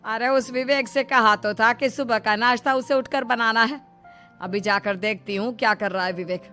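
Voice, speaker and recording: A woman's voice: a little high, fairly even intonation, moderate pace, bright, forceful tone, faint music behind. A woman voicing a bossy middle-aged mother-in-law in a dramatic, emphatic cartoon style. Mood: scolding, annoyed. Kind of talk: Hindi cartoon story acting.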